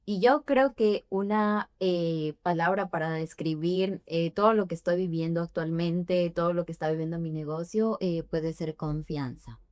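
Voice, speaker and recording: A woman's voice: on the low side, moderate pace, hardly any pauses, darkish tone, no room sound. A young adult woman from Ecuador, a guest in a podcast interview, answering thoughtfully with frequent hesitations. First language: Spanish. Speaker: immigration forms preparer, guest.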